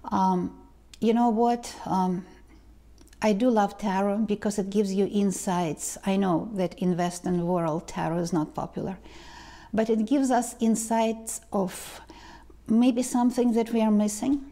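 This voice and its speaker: A woman, talking slowly to camera as a tarot reader, pausing and restarting often. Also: mid-range voice, fairly even intonation, dark tone. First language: Ukrainian or Russian. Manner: slow, halting, many restarts and ums. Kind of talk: casual vlog talk to camera. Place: living room indoors. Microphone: lapel mic.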